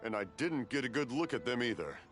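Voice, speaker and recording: A man's voice: mid-range voice, lively intonation, fast, fairly bright tone, faint music behind, close to an everyday voice. A man voicing a kindly older father in a video game, earnest and apologetic as he explains. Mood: regretful.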